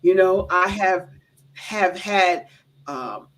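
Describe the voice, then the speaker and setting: A woman's voice: on the low side, expressive, very slow, poor audio. A woman with an American accent hosting a talk show in a casual, affirming way, repeating phrases for emphasis. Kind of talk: casual conversational interview.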